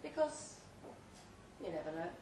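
A woman giving an emphatic, deliberately phrased dramatic reading of a second-person short story at a live event. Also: fairly low pitch, sing-song, dark tone. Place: indoor pub event, before an audience. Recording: roomy live pub recording, distant mic, faint noise.

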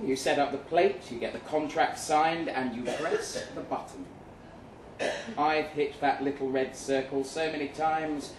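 A man reading a first-person short story aloud dramatically, with measured delivery and deliberate pauses. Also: a little high. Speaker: short story reader at a live event. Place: event room in a pub. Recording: roomy, distant mic with faint noise.

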